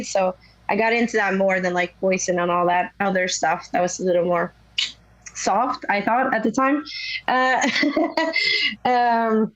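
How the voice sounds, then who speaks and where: A young woman from Sweden with a naturally raspy voice, a rock singer chatting casually in an interview, rambling with many fillers. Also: medium pitch, dry recording, lo-fi sound. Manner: rambling, lots of "like" and "you know". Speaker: rock vocalist guest.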